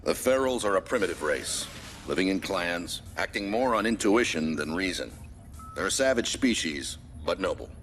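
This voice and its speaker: A stern, authoritative military commander in a sci-fi video game. His voice is low, and he briefs his soldier in measured, formal phrases. Prominent music plays behind him. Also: animated, a bit bright. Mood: grave, authoritative. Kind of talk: scripted game cutscene acting and mission guidance.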